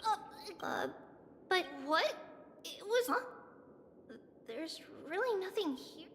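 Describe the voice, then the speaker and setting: A woman voicing a small, excitable, childlike girl in a video game, exclamatory and referring to herself by name. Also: very high-pitched, slow pace, big-room sound, a little hiss or hum, heavily acted. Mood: puzzled, unsure. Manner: refers to self in third person, exclamatory. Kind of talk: video game character acting.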